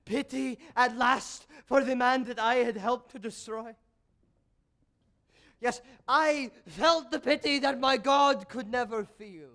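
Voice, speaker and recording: A man's voice: very high-pitched, slow, full character voice. A man on a theatre stage playing a bitter, tormented old composer, declaiming grandly in a monologue. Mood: grave, bitter. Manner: declamatory, swelling from hushed to shouted. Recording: live theatre stage, music and audience behind.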